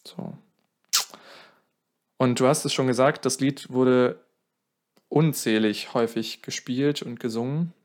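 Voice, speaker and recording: A man's voice: medium pitch, fairly even intonation, measured pace, long pauses, darkish tone. A man telling a song's history in casual podcast talk, often correcting himself mid-sentence. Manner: explains at length, self-correcting, thinking aloud. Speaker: podcast co-host.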